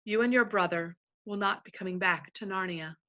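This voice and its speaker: A woman voicing a huge, wise male lion who speaks gravely and tenderly, a little lower than her own voice. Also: normal speed, bright, forceful tone, highs cut off, natural voice. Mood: gentle solemn.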